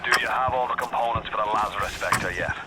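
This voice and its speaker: A man's voice: fairly low pitch, quick pace, heavy background noise, close to an everyday voice. A man playing a roguish, streetwise Irish guide with an Irish accent and colloquial manner in a video game. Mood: wry, instructive. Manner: colloquial, urgent, coaxing. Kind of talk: video game radio guidance. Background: loud music.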